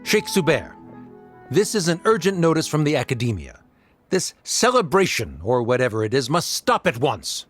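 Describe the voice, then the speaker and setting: An actor playing a cold, condescending academic official in a game who speaks formally and dismissively. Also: male voice, fairly high pitch, big pitch swings, moderate pace, faint music behind. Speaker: official delivering an order.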